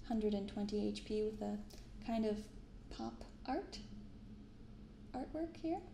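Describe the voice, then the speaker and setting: Soft-spoken, creaky-voiced young woman. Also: mid-range voice, expressive, roomy sound, a little hiss or hum. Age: young adult. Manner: unhurried, hushed commentary and reading from cards. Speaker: host of a card-opening channel. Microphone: binaural microphone.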